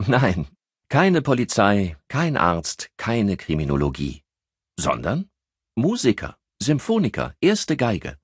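A man's voice: fairly low pitch, wildly swooping pitch, slow, husky, dry recording, studio quality, pitched above the performer's own voice, exaggerated voice. A man voicing a composed, educated countess who explains things precisely, like a medical expert, in an audiobook. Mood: coy, hesitant.

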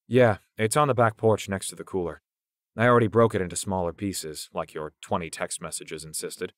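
A man's voice: low voice, a little fast, no echo, natural voice. A man voicing a skeptical, mocking teenage young man, the level-headed friend in an audiobook, with a dry manner. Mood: matter-of-fact. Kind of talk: audiobook character acting.

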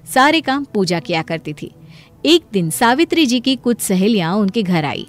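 A woman's voice: mid-range voice, big pitch swings, normal speed. Woman narrating a story over music.

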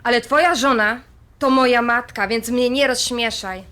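Impulsive grown-up daughter, high sharp voice, sarcastic, confrontational, audio drama. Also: female voice, fairly even intonation, moderate pace. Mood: angry, reproachful. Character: impulsive, defiant adult daughter confronting her father.

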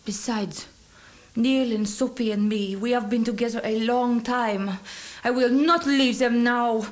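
A woman acts out a proud, loyal young showgirl who speaks bluntly in a mock French accent. Her voice sits slightly high, in the manner of an old radio play. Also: steady tone, a little slow, close to an everyday voice. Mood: defiant. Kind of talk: 1980s radio play acting.

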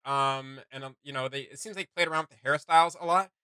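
A man's voice: mid-range voice, fast talker, pushed and bright, smooth, dry recording, clean studio sound. A young man chatting casually as a reaction video host, with loose, slangy delivery. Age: young adult. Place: small home room with desk, indoors. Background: music.

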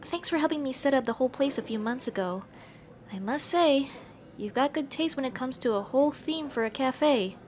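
A woman's voice: high-pitched, animated, normal speed, darkish tone. A young woman playing an affectionate, playfully bossy café-owner girlfriend in an ASMR roleplay, speaking expressively to the listener as her partner. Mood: grateful, fond. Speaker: roleplay voice actor.

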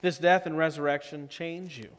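A man in his thirties preaching a sermon as pastor, slow and measured, often dropping to a softer tone for emphasis. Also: on the high side, fairly even intonation. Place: church sanctuary. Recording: long church reverb.

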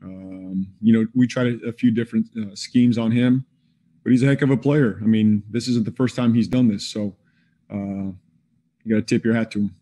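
A man in his forties, a basketball head coach, answering questions at a postgame press conference over a video call in a measured, plain-spoken way with frequent fillers. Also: fairly low pitch, steady tone, mellow. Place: indoors in front of a team backdrop.

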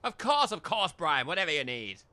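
A man's voice: on the high side, big pitch swings, a little fast, pushed and bright, dry recording, full character voice. A man playing a scheming, persuasive businessman with an English accent in a comic audio drama. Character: smooth-talking, scheming male English businessman.

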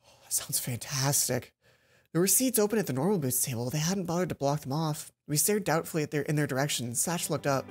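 A young man narrating a game aloud with playful, expressive commentary, as a let's play host. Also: on the high side, fairly quick, slightly rough. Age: young adult. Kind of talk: reading visual novel aloud with commentary.

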